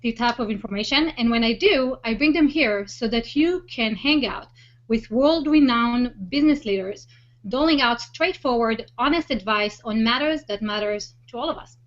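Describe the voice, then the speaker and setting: A woman's voice: on the high side, moderate pace. A woman hosting an online interview show, speaking in an enthusiastic presenting style over a video call. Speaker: host of a web show. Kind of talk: enthusiastic hosted introduction and interview. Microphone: online video hangout call. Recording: dull, lo-fi web call audio.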